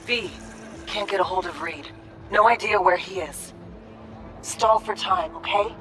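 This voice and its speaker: An actress playing a commanding, terse covert operation handler in a video game. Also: female voice, mid-range voice, unhurried, music in the background, natural voice. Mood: tense, urgent. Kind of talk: video game cutscene acting.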